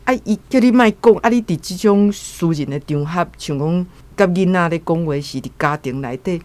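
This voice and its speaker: A woman's voice: on the low side, moderate pace, darkish tone. A middle-aged woman with a Taiwanese accent speaks as a guest commentator. Her delivery is earnest and admonishing, reflective but conversational.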